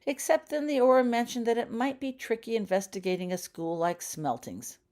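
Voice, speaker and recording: A woman voicing a caring adult male wizard in an audiobook, speaking gently and seriously with measured questions. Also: a little high, voice pushed up, close to an everyday voice. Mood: casual probing. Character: caring adult male wizard cousin, calm, grave and probing. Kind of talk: audiobook character dialogue.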